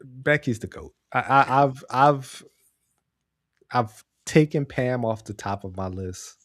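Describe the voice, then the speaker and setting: A man's voice: medium pitch, slow pace, a bit bright, no room sound, studio quality. A man co-hosting a podcast in casual chat, giving his opinions and repeating phrases for emphasis. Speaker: podcast co-host. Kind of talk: casual chat, pro wrestling commentary.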